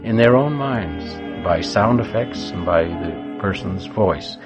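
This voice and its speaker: A man's voice: sits low, animated, takes it slowly. A man reflecting conversationally in an interview clip, with music over the recording.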